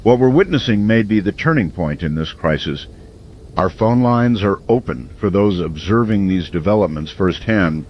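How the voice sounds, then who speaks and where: A grave radio drama host, acted by a man, speaks in measured, deliberate phrases like a late-night newsman. His voice sits low, and the sound is lo-fi with clear noise behind it. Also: animated, close to an everyday voice. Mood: grave, urgent. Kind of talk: dramatic radio news narration.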